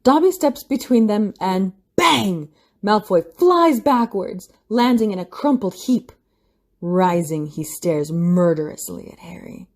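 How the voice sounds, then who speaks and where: A woman's voice: mid-range voice, lively intonation, unhurried. A woman giving dramatic scripted narration for an audio play, with music behind her.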